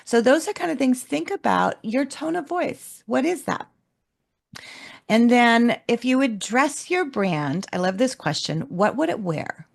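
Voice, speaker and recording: A woman co-hosting a business webinar, explaining homework questions in a calm, measured teaching manner. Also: on the high side, creaky. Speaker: webinar co-host, leadership coach. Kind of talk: instructional webinar talk.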